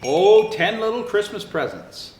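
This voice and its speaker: A man plays a jolly old Santa Claus reading a children's storybook. His voice sits high and swoops with theatrical expression. He takes his time, and the room adds a clear echo. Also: animated, unhurried, a little mellow. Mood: jolly, storytelling. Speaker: storyteller reading picture books aloud. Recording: roomy echo, faint background noise.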